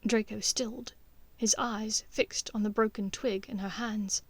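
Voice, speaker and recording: A woman reading scripted fiction as an audiobook narrator, in a measured, expressive storytelling manner. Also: mid-range voice, dry recording.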